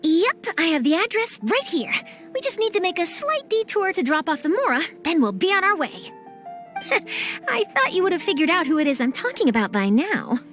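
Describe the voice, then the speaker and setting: A woman's voice: very high voice, big pitch swings, moderate pace, fairly bright tone, faint music behind, full character voice. A woman voicing an eager, nosy young female reporter in a game cutscene, chipper and persuasive. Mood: upbeat. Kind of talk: video game cutscene dub.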